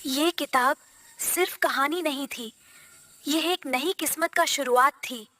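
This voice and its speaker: A woman's voice: high voice, slow, pushed and bright. A woman reading scripted narration for a cartoon story.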